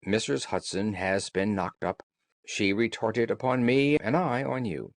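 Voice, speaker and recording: A man voicing a brisk, observant gentleman detective in a storybook, courteous, precise and assured. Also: lowish voice, no room sound, clean studio sound. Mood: brisk, apologetic. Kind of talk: audiobook character dialogue.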